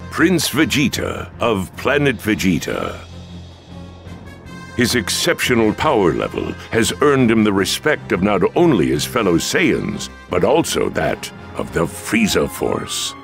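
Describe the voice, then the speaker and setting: A man narrating a video game story in a dramatic scripted style, with a deep gravelly voice. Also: huge pitch swings, measured pace, a bit bright, soft background music, full character voice. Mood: solemn.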